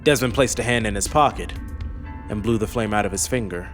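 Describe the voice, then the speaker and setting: A man's voice: on the low side, fairly quick. A man reading scripted fantasy narration as an audiobook narrator. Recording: faint music under the voice.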